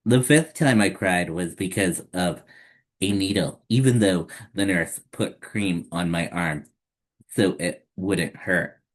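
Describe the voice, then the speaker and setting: Slow, low-voiced man reading a children's story. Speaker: children's storytime host reading a picture book. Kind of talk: read-aloud children's story narration.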